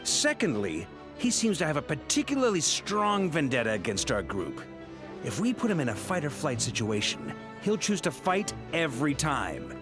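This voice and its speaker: An actor playing a steady, commanding knight grand master in a video game, speaking with measured authority. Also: male voice, a little high, music in the background. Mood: confident, commanding.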